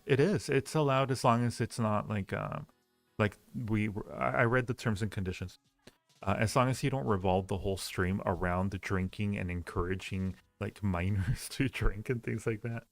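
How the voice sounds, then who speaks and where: Low, creaky-voiced man chatting on a livestream. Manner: halting, rambling, reassuring. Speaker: livestream host giving advice. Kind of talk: casual chat, answering listener questions.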